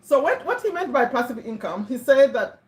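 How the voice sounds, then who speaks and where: A woman's voice: high voice, very animated, fairly quick. Chatty Ugandan woman, echoey room. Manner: chatty, checks in with viewers, repeats words for emphasis. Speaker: livestream host. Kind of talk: casual motivational livestream.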